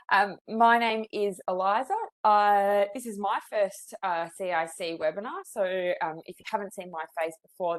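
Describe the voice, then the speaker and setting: Aussie lady psychologist on a video call, kinda low voice, chatty webinar host, lots of ums. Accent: Australian. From Australia. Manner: friendly, chatty, many fillers, reads the chat aloud. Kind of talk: webinar presentation.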